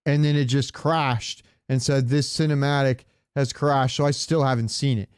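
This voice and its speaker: A man with a clean, smooth voice and a medium pitch talks casually as a podcast co-host. The sound is dry and close, and he rambles informally, swearing now and then. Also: fairly even intonation, normal speed, no room sound. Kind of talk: casual gaming podcast chat.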